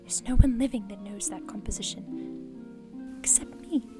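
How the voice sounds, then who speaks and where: A woman plays a rich older widow in an audio drama. Her voice is high and theatrical, earnest and full of feeling, with faint music underneath. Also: unhurried, lightly acted. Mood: guarded surprise. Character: wealthy older widow, wistful, emotional and effusive.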